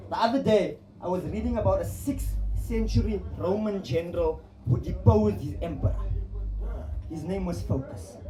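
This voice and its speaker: A South African man doing stand-up, teasing the crowd with punchy jokes. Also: high-pitched. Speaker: stand-up comedian. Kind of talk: stand-up comedy set. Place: comedy club stage with live audience. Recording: echoey club, loud crowd laughter. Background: crowd laughing.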